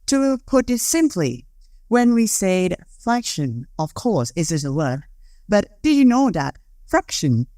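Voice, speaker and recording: A Thai-accented teen reads a podcast script with a lively delivery. The pitch swings widely. The recording is dry and close. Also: unhurried, no room sound. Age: late teens. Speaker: co-host.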